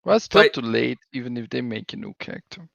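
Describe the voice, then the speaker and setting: A man's voice: medium pitch, animated, fast, gravelly, fairly bright tone, dry recording, dull audio. A man from Belgium with a Belgian accent, a laid-back panelist on a gaming podcast who laughs often. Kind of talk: casual gaming podcast chat.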